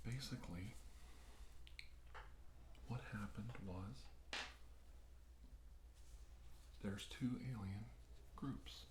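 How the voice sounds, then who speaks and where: A man playing a calm, reassuring doctor in a soft, often whispered ASMR medical roleplay, speaking in a soothing and deliberate way. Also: mid-range voice, natural voice. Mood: explanatory. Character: calm, reassuring male doctor examining an alien abductee. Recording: roomy, with faint background hiss.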